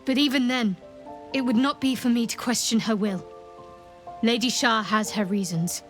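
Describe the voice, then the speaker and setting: A woman playing a guarded, secretive young cleric in a video game, speaking in a reserved, measured, dryly wry way. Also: on the high side, faint music behind, close to an everyday voice. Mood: solemn. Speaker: video game companion character. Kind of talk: dramatic game dialogue.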